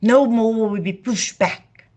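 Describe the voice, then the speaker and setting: A woman's voice: fairly high pitch, a little slow, darkish tone. A woman from Namibia, hosting a live talk in a passionate, declamatory way. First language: Afrikaans. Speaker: livestream host. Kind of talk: impassioned heritage talk.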